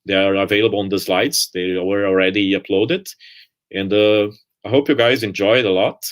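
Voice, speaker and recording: A man, ending his conference talk and answering questions in a relaxed, hesitant way with frequent "uh"s over a video call. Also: lowish voice, normal speed. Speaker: conference speaker. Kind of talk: presentation and Q&A answers.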